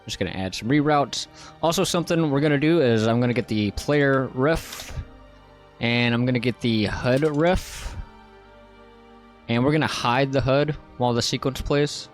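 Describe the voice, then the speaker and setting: Casual man with a fairly high-pitched voice. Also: moderate pace, faint music behind. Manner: informal, step-by-step explaining. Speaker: tutorial host. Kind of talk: casual software tutorial narration.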